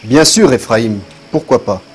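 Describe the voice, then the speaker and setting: A man playing a calm, patient prophet in an animated cartoon, speaking in a composed, formal manner. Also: mid-range voice, unhurried, a little hiss or hum, lightly acted. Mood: calm, kindly. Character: calm, kindly male prophet, patient and serene. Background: music.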